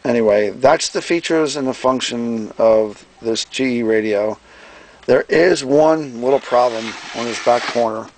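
A man giving a casual hands-on product demonstration as presenter, plain-spoken and folksy, often clearing his throat. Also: medium pitch, a little slow, a little mellow, poor audio. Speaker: presenter, radio restorer and seller. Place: indoor workbench.

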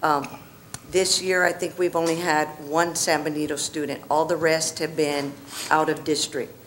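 A middle-aged woman answering a school board's questions, quiet and matter-of-fact. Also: sits low, little pitch movement, normal speed, slight background noise, low-quality recording. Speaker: district staff member answering the board. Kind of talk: answering questions at a public meeting. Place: school board meeting room. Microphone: podium microphone.

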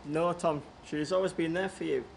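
A man's voice: a little high, steady tone, normal speed, creaky, a little hiss or hum. A man playing an earnest, reasonable voice of good conscience in an amateur radio play. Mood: pleading, reasonable. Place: large conference room before an audience.

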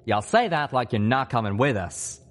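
A folksy male pegasus from a storybook, acted by a man. He is plainspoken and loyal, his pitch lifted a little. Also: animated, moderate pace, soft background music, lightly acted. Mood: folksy, concerned.

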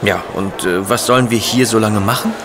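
An actor playing a detective's loyal, wry, plain-spoken sidekick and chronicler in a German audio drama. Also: male voice, fairly low pitch, fairly quick, background noise, lightly acted. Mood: baffled, grumbling.

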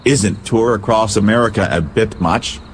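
Noisy ambience sits behind an awkward band musician, played by a man, with a lowish voice. He speaks in casual, dry banter for a comedy audio drama. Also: moderate pace, natural voice. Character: awkward, married male musician in comeback band. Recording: clearly noisy background ambience.

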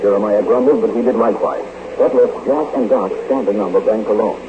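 A man narrating an old radio serial in a measured, dramatic way, a bit low in pitch. The sound is tinny like a phone line, with loud hiss behind it. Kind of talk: scripted narration.

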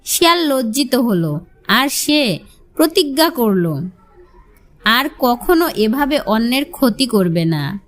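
A woman's voice: fairly high pitch, big pitch swings, takes it slowly, pauses often. A woman storyteller narrating a scripted fable for children.

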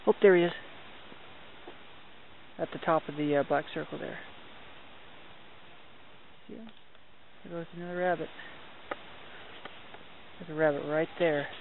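A man's voice: a little high, a little slow, pauses often. Young man narrating a night hike. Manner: excited, chatty, mild minced oaths. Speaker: hiking vlogger. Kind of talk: hushed running commentary while filming. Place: outdoors at night on a trail. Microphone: handheld camera mic. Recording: tinny lo-fi camera audio with hiss.

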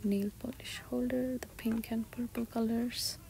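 A woman shopping vlogger giving soft, breathy, often half-whispered ASMR-style commentary as she describes items one by one inside a store. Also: fairly high pitch, steady tone, measured pace, poor audio. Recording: store ambience noise behind soft voice.

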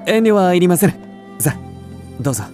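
An actor playing an earnest, lovestruck young widower of old Japan in a radio drama, speaking politely and formally. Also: male voice, high voice, expressive, normal speed, a little mellow, soft background music. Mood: delighted, inviting. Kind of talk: radio drama acting of a ghost tale.

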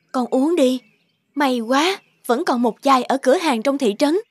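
A woman voicing a gentle, selfless young mother in a cartoon, tender and reassuring. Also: high-pitched, measured pace, slightly rough, no echo. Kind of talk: cartoon dub acting. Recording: very loud music behind the voice.

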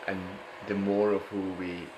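Low, monotone man guiding meditation. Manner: halting, repeats words, drawn-out phrasing. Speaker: meditation guide, host of a live session. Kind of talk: guided meditation, improvised and unscripted. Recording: echoey room, clear background noise.

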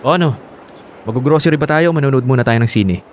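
A man's voice: mid-range voice, fast talker, slight background noise, lightly acted. A man acting a protective, possessive young husband in a Filipino radio drama, with a Filipino accent. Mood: easygoing. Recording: clear music bed behind dialogue.

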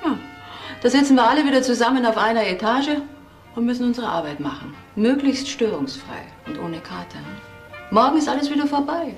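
An actress playing a sensible, admonishing office colleague in a crime radio drama, reproachful and matter-of-fact. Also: female voice, a little high, expressive, fairly quick, faint music behind.

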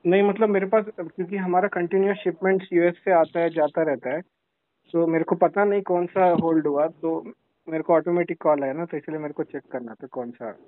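A man in a casual phone conversation as a customer, talking informally and haltingly and repeating himself. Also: fairly high pitch, fairly even intonation, no room sound. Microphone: phone call. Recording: tinny narrowband phone line.

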